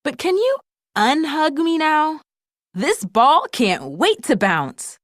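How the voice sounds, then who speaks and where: A woman's voice: high voice, sing-song, slow pace, fairly bright tone, dry recording, studio quality, voice pushed up, exaggerated voice. A woman voicing a nervous male toy bouncy ball in a storybook, boyish and hesitant, full of bravado. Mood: excited. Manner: hesitant, protesting, putting on bravado.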